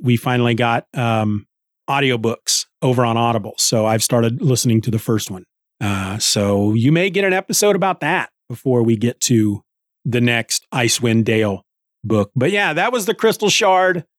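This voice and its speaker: Middle-aged man, podcast host, mid-range pitch, casual rambling review style, conversational, dry recording. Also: dry recording. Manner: rambling, conversational, thinking aloud. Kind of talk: casual solo book review chat.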